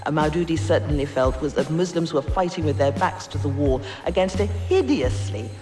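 A man giving analytical commentary in a measured, explanatory way, searching for his words. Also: fairly high pitch, animated. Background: faint music.

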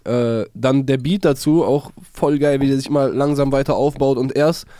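A man co-hosting a casual music show, praising beats and producers in a relaxed, slangy way. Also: medium pitch, normal speed, dry recording, studio quality. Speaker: podcast co-host. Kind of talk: casual music review chat.

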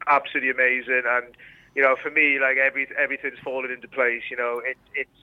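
A man's voice: mid-range voice, little pitch movement, fast talker, dry recording. A young Welsh man answering interview questions in an earnest, casual way, with lots of fillers and restarts. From Wales. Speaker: interview guest. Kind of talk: casual interview over a call. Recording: tinny phone-line sound.

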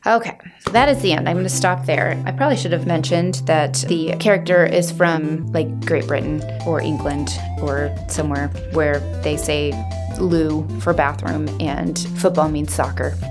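A woman's voice: low voice, normal speed, over music. A woman host reading a children's novel aloud for a library podcast, then chatting casually at the end. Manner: friendly, chatty outro.

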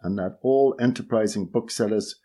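A man reading a literary magazine essay aloud, measured and precise, lifting key words for emphasis. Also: fairly low pitch, mellow. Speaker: reader of a magazine article. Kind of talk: read-aloud essay narration.

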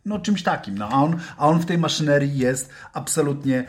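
A man's voice: fairly high pitch, fairly quick, slight background noise. A man talking casually as a football pundit in a podcast discussion.

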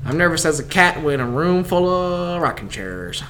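A young man with a Southern US accent, hosting a podcast in casual, joking chat. His voice is soft and soothing with a slight nasal edge. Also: fairly high pitch, sing-song, moderate pace, a little hiss or hum. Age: young adult. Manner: playful and joking. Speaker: podcast host.

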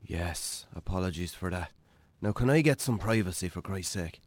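A man's voice: sits low, lively intonation, normal speed, no room sound. A man playing a put-upon, hungover Irish farmer in a radio drama, weary, dry and sardonic. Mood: exasperated. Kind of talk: naturalistic radio drama acting.

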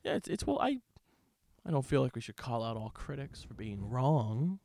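A man co-hosting a podcast, chatting casually about reviews in measured, hesitant phrases. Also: mid-range voice, big pitch swings, vocal fry, a little mellow, no echo, studio quality. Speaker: podcast co-host.

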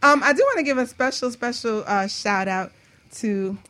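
A woman's voice: on the high side, normal speed, a bit bright, slight background noise. A woman hosting a radio show in a casual, playful and direct chatty style. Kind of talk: casual talk-show chat.

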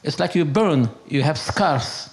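Older Hungarian man, halting and gravelly. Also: fairly high pitch, expressive. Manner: halting, broken English, emphatic, repetitive. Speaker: interviewee telling his life story. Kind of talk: unscripted conversational storytelling and philosophizing.

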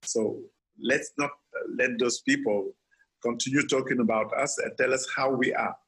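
A man's voice: mid-range voice, fairly even intonation, moderate pace, fairly bright tone, poor audio. A man from Senegal, an architect, answering interview questions earnestly, with frequent restarts and repeated words.

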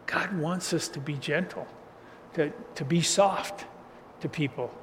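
A man preaching a casual sermon as a pastor, with a loud voice and a loose, chatty style full of chuckles and restarts. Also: fairly high pitch, a little slow. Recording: reverberant hall, faint ambient noise.

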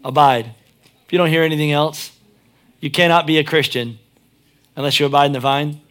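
A man's voice: a little high, fairly even intonation, brisk, a bit bright. A pastor preaching a sermon, chatting and joking, then driving his points home with emphatic peaks.

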